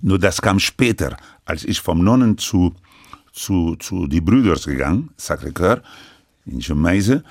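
An older man who grew up speaking French in Lebanon, recounting his life story in halting, broken sentences full of restarts. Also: low voice, animated, gravelly, a little mellow, no room sound, studio quality. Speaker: interviewee.

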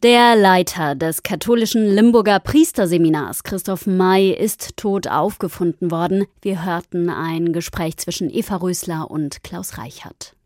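A woman's voice: fairly low pitch, a little mellow. Serious woman news presenter. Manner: measured, serious news delivery.

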